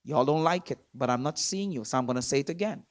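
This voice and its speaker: A man with a Trinidadian accent preaches from a church pulpit. He leans hard on his words, repeats phrases and swings between loud and soft. His voice sits at a middle pitch, and the sound is close and dry. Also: lively intonation, fast talker, dry recording. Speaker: guest preacher. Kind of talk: sermon. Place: church sanctuary.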